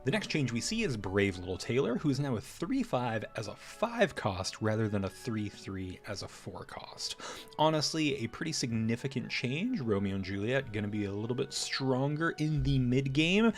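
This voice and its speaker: A man's voice: mid-range voice, sing-song, moderate pace, soft background music. A man presenting game patch notes in an upbeat, conversational commentary style. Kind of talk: casual scripted game update commentary.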